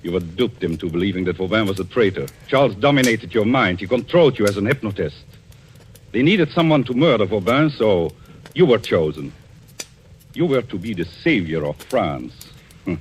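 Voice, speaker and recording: An actor playing a vengeful, coolly controlled man in a 1940s radio suspense drama, speaking deliberately and theatrically. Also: fairly low pitch, expressive, slight background noise, close to an everyday voice. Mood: accusing, deliberate. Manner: deliberate, theatrical, quietly menacing. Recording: old radio recording with music.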